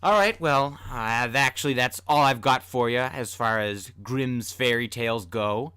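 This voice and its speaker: A man's voice: mid-range voice, unhurried, bright, forceful tone, smooth, no room sound. A man hosting a podcast, talking casually and informally with self-deprecating asides. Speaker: podcast host and storyteller. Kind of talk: casual host talk.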